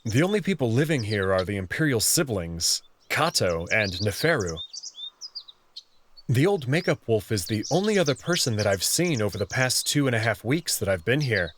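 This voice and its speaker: A man reading a visual novel aloud in the first person, in an expressive, nervous way. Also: mid-range voice, moderate pace, slight background noise. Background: background music.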